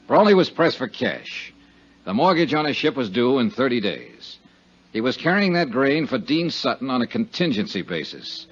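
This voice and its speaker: An American actor playing a tough, self-assured insurance investigator in a 1950s radio drama, with a clipped, matter-of-fact delivery. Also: male voice, medium pitch, husky. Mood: matter-of-fact, insistent. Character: tough, sure-minded male insurance investigator hero. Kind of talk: 1950s radio detective drama acting with narration. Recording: muffled 1950s radio recording, music.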